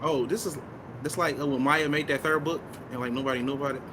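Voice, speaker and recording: Joking young host, slangy. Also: male voice, mid-range voice, fairly even intonation, fast, noisy background. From Detroit. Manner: loose, joking, slangy, frequent "mm-hmm". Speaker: podcast host. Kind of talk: casual interview chat.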